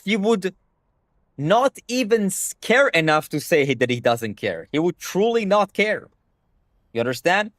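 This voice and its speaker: A man's voice: high-pitched, big pitch swings, measured pace, a bit bright, dry recording, studio quality. A young man whose first language is Hebrew, talking bluntly to his stream chat and swearing freely. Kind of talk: casual livestream rant.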